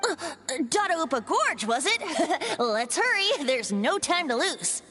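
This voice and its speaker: A playful young bard, high and very animated. The breezy game character speaks with music underneath. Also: female voice, unhurried, exaggerated voice. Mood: amused, eager. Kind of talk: video game character acting.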